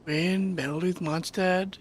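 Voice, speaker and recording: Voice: medium pitch, fairly even intonation, takes it slowly, a little hiss or hum. A game streamer giving casual commentary in short, muttered asides while playing. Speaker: gameplay streamer. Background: game music and sounds.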